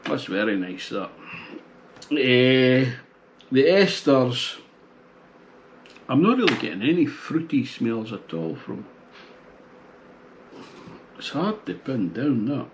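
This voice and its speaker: A man in his sixties casually reviewing a beer at home, thinking aloud in a relaxed, musing way with pauses. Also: medium pitch, a little hiss or hum. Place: small home bar room, indoors.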